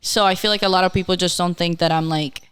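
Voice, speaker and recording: A woman's voice: lowish voice, steady tone, fast talker, a bit bright, clean tone. A woman in her twenties, a Spanish speaker from Latin America with a light accent, chatting as a podcast co-host and filling her talk with "like". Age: 20s. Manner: chatty, lots of "like" fillers. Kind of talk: casual chat.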